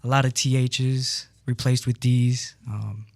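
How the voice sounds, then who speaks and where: A man acting in a community theatre show, speaking in a relaxed, hesitant way with many ums in a radio interview. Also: mid-range voice, a little slow.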